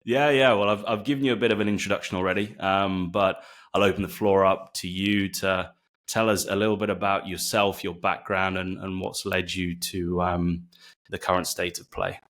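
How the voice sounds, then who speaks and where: A man's voice: sits low, fairly even intonation, normal speed, fairly bright tone. A man hosting a podcast in casual talk, prompting his guest with short encouraging interjections. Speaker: podcast host.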